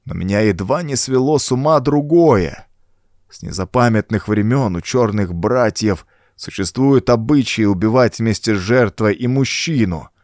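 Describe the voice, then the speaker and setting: A man's voice: lowish voice, darkish tone, no room sound, studio quality. A man voicing a dying old former cultist, groaning out a desperate, laboured confession for a horror audiobook. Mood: anguished, guilty. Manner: groaning, laboured, dramatic delivery. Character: dying old male renegade cultist confessing in dread and agony. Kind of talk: acted audiobook dialogue.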